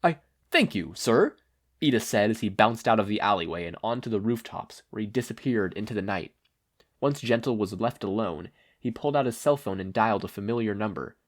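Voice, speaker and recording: A man's voice: lowish voice, a little fast. A man reading scripted fan fiction aloud as a narrator. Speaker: podfic narrator.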